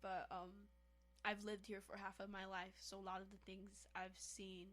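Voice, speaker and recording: A woman's voice: medium pitch, normal speed. A young woman from Haiti co-hosting a podcast in casual, emphatic chat, often calling out to listeners. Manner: animated, emphatic, addresses listeners as y'all. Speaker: podcast co-host.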